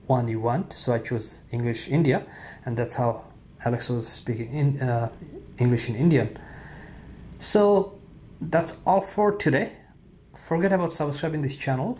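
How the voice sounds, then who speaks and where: A man hosting a tech tutorial, talking casually off camera in an indoor room with frequent fillers and pauses. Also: medium pitch, a little hiss or hum. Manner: relaxed, halting with frequent "uh" fillers. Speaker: tech video host.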